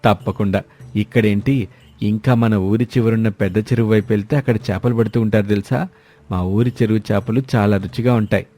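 A man voicing a fond, encouraging young village-born husband in an animated moral story, over music. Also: fairly low pitch, fairly quick, close to an everyday voice.

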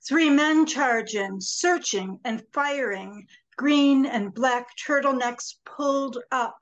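A woman in her sixties giving a measured, dramatic poetry reading over a video call from a room at home. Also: high voice, muffled recording. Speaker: poet. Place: small home room with bookshelves. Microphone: computer mic on a video call.

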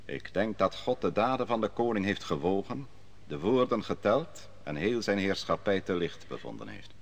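An actor playing the prophet Daniel, a grave and calm seer, speaking in a measured, solemn way in a vintage radio drama. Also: male voice, on the low side.

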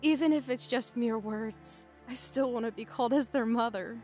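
A self-sacrificing manga mother, played by a woman, speaks in a high voice full of earnest emotion. She is tender and tough at once. Music plays softly behind her dramatic delivery. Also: normal speed, lightly acted. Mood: tearful tenderness. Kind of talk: dramatic manga voice-over acting.

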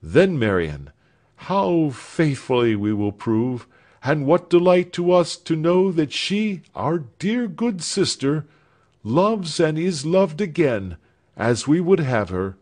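A man reads a fervent young man from a storybook, raised and lively in pitch, every phrase earnest and heartfelt. He speaks with feeling and a slow, swelling delivery. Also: full character voice. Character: earnest young man, devoted lover departing.

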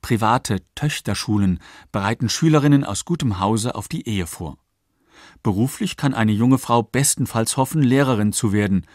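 A man reading scripted radio documentary narration in a measured, articulate way. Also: on the low side, a little mellow, no room sound, studio quality.

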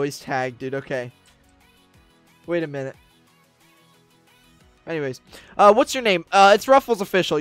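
A man doing upbeat roleplay commentary over a game, shouting commands when things get tense. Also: on the high side, clean tone, no room sound. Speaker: gaming YouTuber. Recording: faint background music.

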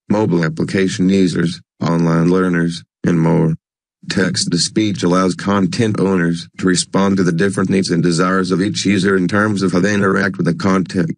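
Synthetic male narrator, very low and monotone, dry studio sound. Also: no echo. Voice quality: synthetic text-to-speech voice.

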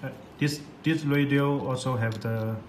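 Halting man, slow and flat. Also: mid-range voice. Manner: halting, repetitive, simple non-native English. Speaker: product demonstrator. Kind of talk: unscripted software tutorial. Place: indoor room at a computer desk. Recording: echoey room with background noise.

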